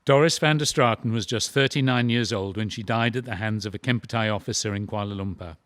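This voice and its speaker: A man's voice: lowish voice, fairly quick, a bit bright, dry recording. A man delivering scripted, formal, grave narration for a historical drama.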